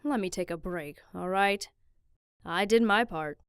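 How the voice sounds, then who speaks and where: A woman playing a calm, teasing young woman who explains things in a measured way, in a visual novel fan dub. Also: on the low side, clean tone, no room sound, clean studio sound. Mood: resigned. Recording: music behind the voice.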